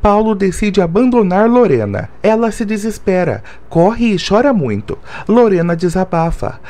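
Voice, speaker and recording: A man narrating a soap opera episode recap in short, evenly read summary sentences, as a voice-over. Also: sits high. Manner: flat, even reading of short summary sentences. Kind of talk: scripted plot summary narration.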